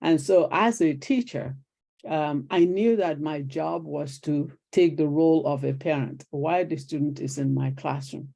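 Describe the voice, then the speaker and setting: Older Nigerian woman, guest storyteller, rough-edged mellow tone. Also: sits low, measured pace. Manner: animated storyteller acting out quoted exchanges. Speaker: guest, retired teacher. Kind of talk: conversational interview storytelling.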